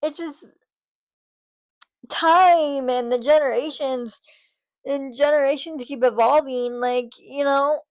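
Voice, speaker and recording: A woman's voice: high voice, fairly even intonation, takes it slowly, long pauses, darkish tone, low-quality recording. A woman in her thirties talking casually to her camera, rambling with lots of fillers and restarts. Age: 30s. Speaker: vlogger.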